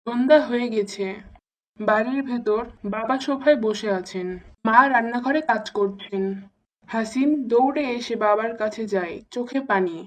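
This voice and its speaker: High-pitched female story narrator. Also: moderate pace, rough recording. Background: music.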